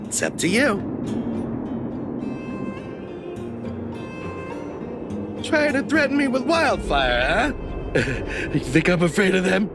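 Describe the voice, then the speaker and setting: A man's voice: sits high, big pitch swings, moderate pace, creaky, over music, exaggerated voice. A man voicing a shifty, blustering merchant in a video game, theatrical and wheedling, proud of his reputation and easily cowed. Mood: smug, stubborn.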